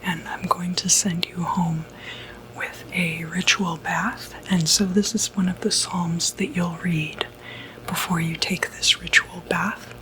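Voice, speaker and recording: Casting: female, breathy whisper, unhurried, gentle non-judgmental doctor for ASMR medical roleplay, slightly low pitch. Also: level tone, slight background noise, rough recording. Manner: gentle, reassuring, hesitant bedside talk. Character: sympathetic, non-judgmental doctor who treats demonic possession.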